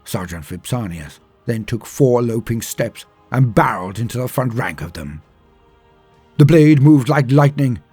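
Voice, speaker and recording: A man's voice: mid-range voice, a little mellow, breathy edge. A man narrating a scripted story in a dramatic, theatrical and emphatic manner. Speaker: storyteller and lore channel host.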